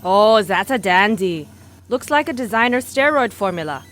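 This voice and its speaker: A woman's voice: medium pitch, lively intonation, normal speed, fairly bright tone, faint music behind, close to an everyday voice. An actress playing a quirky, folksy female doctor on a spy team in a comedy audio drama, chatty and breezy.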